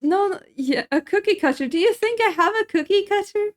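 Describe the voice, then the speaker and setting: A woman's voice: very high voice, expressive, fairly quick. A young woman from Sweden, chatting casually with her stream chat while cooking in her kitchen, laughing often and answering messages.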